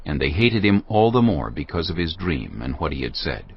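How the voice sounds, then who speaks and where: A man narrating scripture in a measured, expressive dramatic style. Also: very deep voice, breathy edge, no echo. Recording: dull audio over background music.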